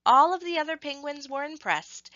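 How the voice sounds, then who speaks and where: A woman reading a scripted story to children, with expressive, measured phrasing and emphasis on action words. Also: sits high, no room sound, lo-fi sound.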